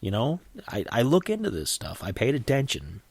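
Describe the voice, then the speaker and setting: A man's voice: medium pitch, huge pitch swings, moderate pace, gravelly, darkish tone, husky, no echo. A middle-aged man hosting a solo podcast, sniffly from a cold, rambling and opinionated with frequent restarts. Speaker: podcast host.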